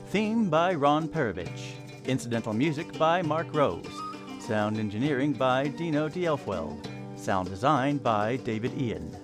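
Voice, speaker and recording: A man reading scripted credits as an announcer for an audio drama. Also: medium pitch. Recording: music clearly behind the voice.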